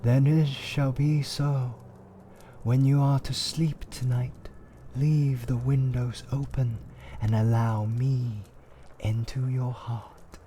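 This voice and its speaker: A man voicing a dark spirit that poses as a gentle, warm star, coaxing in formal old-fashioned speech in a fantasy tale. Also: mid-range voice, flat delivery, slow, slight background noise, voice pushed up, lightly acted. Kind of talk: fantasy storytelling roleplay.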